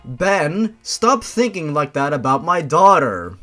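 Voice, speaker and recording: A man's voice: on the high side, big pitch swings, slow pace, pushed and bright, soft background music, exaggerated voice. A man voicing a stern, commanding father with a formal, announcing manner. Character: commanding father introducing his henchmen, stern.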